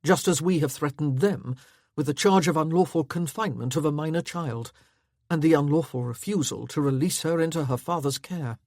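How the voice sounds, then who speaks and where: A woman voicing a blunt, war-hardened male army officer in a storybook reading, clipped and forceful. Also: low voice, moderate pace, no room sound, clean studio sound. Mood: stern.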